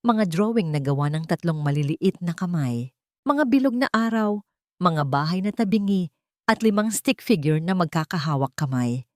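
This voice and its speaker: A woman reading a sentimental story as an audiobook narrator, in a measured, dramatic way. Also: fairly low pitch, expressive, a little mellow, no echo, clean studio sound.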